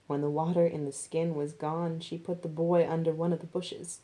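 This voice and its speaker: A woman reading scripted narration as expressive Bible storytelling. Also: low voice, normal speed, poor audio.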